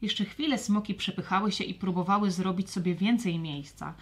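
Expressive woman narrating a children's tale. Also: mid-range voice, normal speed. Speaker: storyteller. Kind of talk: scripted children's story narration.

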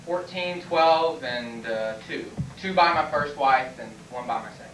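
Far from the mic in an echoey classroom, an actor plays a middle-aged man squirming through a stage comedy. His voice is bright and slow-paced, with hesitant, stammering, pleading delivery. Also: fairly high pitch. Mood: flustered, indignant. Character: flustered, evasive 48-year-old man dodging an army physical. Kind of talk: amateur stage comedy acting. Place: classroom used as a small stage. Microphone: far camera microphone. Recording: distant, echoey, faintly noisy VHS room recording.

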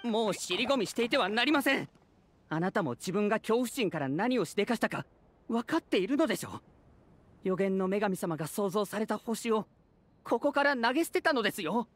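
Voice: medium pitch, lively intonation, a little fast, natural voice. An actor voicing a humble, anxious citizen of a fairytale town in a Japanese video game, speaking formally and earnestly. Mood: earnest, urging. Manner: formal, deferential, earnest speech.

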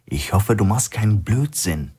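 A man's voice: fairly low pitch, lively intonation, a little slow, dark tone, husky, pitched above the performer's own voice, full character voice. A man voicing a menacing male bank robber boss who gives curt, threatening orders in a thriller audiobook. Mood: commanding.

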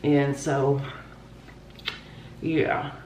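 A woman's voice: extremely low pitch, level tone, extremely slow, a little mellow, distant mic, a little hiss or hum. A woman in her thirties with a stuffy nose, chatting casually and rambling to her viewers from her bathroom while doing her routine. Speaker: vlogger. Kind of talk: casual chat to camera. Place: home bathroom. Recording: roomy bathroom echo, voice slightly off-mic.

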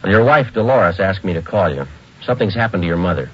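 An actor playing a terse police detective sergeant who narrates in a clipped, matter-of-fact way, in a 1940s radio drama. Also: male voice, low voice, lively intonation, fast talker, breathy edge, highs cut off. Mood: grave. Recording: hissy old radio broadcast.

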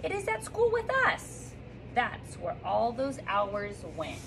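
A woman's voice: mid-range voice, slow, gravelly, background noise. A woman in her thirties, a third grade teacher, recording a cheerful sing-song welcome and picture book read-aloud for her class from a classroom reading corner, filmed from a few feet away. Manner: upbeat and sing-song, reading with lively rises and slips. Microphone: phone or camera on a stand a few feet away.

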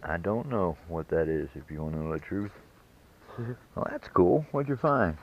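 A man's voice: low-pitched, very animated, normal speed. A man narrating a metal detecting trip in a folksy, joking way, filmed outdoors and from inside a truck. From West Virginia. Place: outdoors in woods and in a truck on a rough trail. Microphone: action camera. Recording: muffled camera audio with loud engine noise.

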